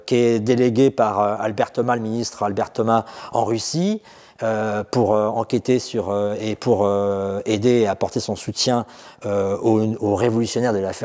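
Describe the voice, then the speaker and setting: A man's voice: on the low side, a little mellow. A middle-aged man guiding visitors through an exhibition, explaining in unscripted talk full of fillers and restarts. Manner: hesitant, many fillers and restarts. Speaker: exhibition guide. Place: exhibition room indoors. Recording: reverberant room.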